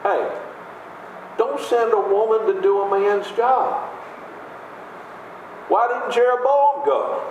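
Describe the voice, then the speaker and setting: A man with a big booming voice preaching a sermon in an emphatic, conversational way, with rhetorical questions. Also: fairly even intonation, slow pace, pauses often, dull audio, a little hiss or hum. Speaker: pastor. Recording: echoey room with faint ambience.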